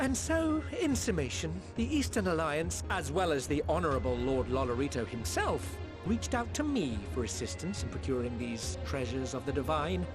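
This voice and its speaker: A lofty formal emissary. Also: male voice, fairly high pitch, big pitch swings, normal speed, poor audio. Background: game soundtrack music.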